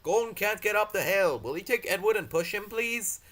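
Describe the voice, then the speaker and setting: A man's voice: sits high, expressive, moderate pace, a bit bright, pitched above the performer's own voice. A man voicing a polite railway porter in a storybook. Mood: urgent.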